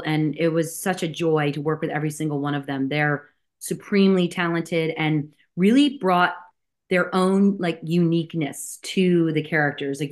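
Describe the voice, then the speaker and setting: A woman from New Jersey, a film director and actress, answering interview questions in a chatty way with many fillers and restarts. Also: low voice.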